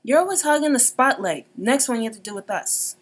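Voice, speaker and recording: Skeptical, teasing orphan kid voiced by a woman, highish and quick, motion comic. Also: animated. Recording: music behind the voice.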